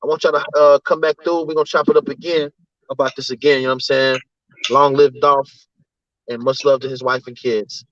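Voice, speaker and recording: A man's voice: medium pitch, flat delivery, a little fast, fairly bright tone, no echo, lo-fi sound. A man hosting a casual livestream, reading out names from the chat and often tagging his lines with "you know what I'm saying". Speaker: livestream host.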